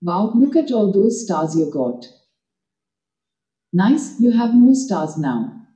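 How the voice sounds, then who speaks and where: A woman's voice: medium pitch, very animated, slow, warm tone. A woman as an app's voice assistant, giving scripted, encouraging instructions and praise. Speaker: reading app voice assistant. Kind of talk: scripted app prompts and praise. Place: played from a device speaker in a room, picked up by camera. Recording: dull app playback in echoey room.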